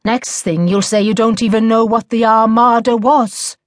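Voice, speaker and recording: A woman's voice: a little high, fairly even intonation, moderate pace, no room sound, clean studio sound, pitched above the performer's own voice, natural voice. A woman voicing a weary, exasperated middle-aged male history teacher in a storybook, stern and sarcastic.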